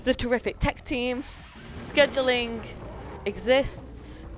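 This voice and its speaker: A woman's voice: on the high side, takes it slowly. A woman hosting a speedrun marathon, reading donations and bantering in a playful, chatty way. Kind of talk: casual live hosting banter. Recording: clearly noisy event room.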